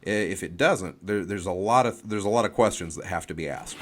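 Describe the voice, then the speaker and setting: Guy with a fairly low voice on a sports podcast, casual, circles back on his phrases as he thinks. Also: a little fast, slight background noise. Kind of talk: casual sports debate and game picks.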